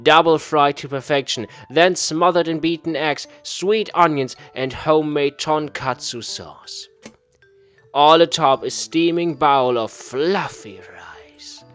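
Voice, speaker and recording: A man's voice: on the high side, slow pace, fairly bright tone, faint music behind. A man voicing an earnest, food-obsessed office worker from a visual novel, dramatic and easily wounded. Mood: proud, savoring. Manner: dramatic, savoring descriptions.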